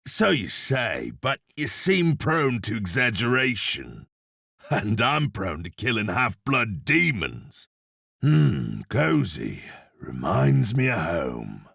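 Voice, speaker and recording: Grim Old West blacksmith turned demon slayer, husky deep voice, dry wit, laconic, video game character. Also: male voice, wildly swooping pitch, slow, a bit bright, no room sound, exaggerated voice. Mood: dry, wry. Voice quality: gravelly, husky. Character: grim, sardonic cowboy blacksmith demon hunter, adult man.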